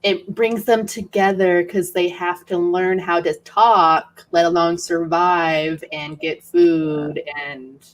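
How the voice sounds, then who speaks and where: A woman chatting casually about books in a halting, tentative, informal way, as co-host of a livestream. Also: fairly low pitch, fairly even intonation. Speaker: co-host of a book readalong livestream.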